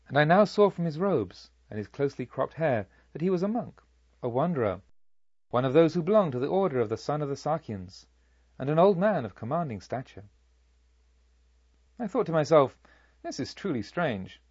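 A man playing a proud, vengeful robber chief who tells his own tale in measured, formal storytelling. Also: fairly high pitch, sing-song, a little mellow, breathy edge, no room sound, dull audio. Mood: brooding, then scornful, resolute. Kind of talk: audiobook story narration in character.

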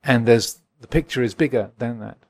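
A man in his sixties from England, a Buddhist monk giving a gentle, reflective dharma talk and answering questions at a retreat. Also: mid-range voice, normal speed. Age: 60s. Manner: gentle, reflective, chuckles often.

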